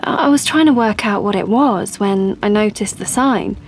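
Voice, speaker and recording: A woman's voice: mid-range voice, moderate pace, slight background noise, close to an everyday voice. A woman playing an assertive, persuasive young woman in an audio play, with an insistent, explanatory way of talking. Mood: confiding. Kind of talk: audio play monologue and dialogue.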